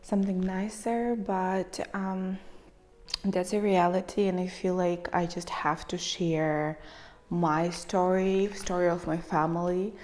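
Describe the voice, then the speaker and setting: A woman's voice: fairly low pitch, steady tone, a little mellow. Emotional woman with a Ukrainian accent. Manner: emotional, halting, near tears, with many pauses and fillers. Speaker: vlogger. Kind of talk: personal storytelling to camera.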